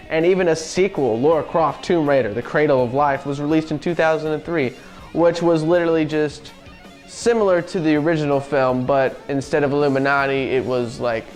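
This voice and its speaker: Young guy casually reviewing movies, slightly high voice, bit of music underneath. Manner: chatty, offhand, reads facts then riffs. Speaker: podcast host. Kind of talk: casual video review commentary.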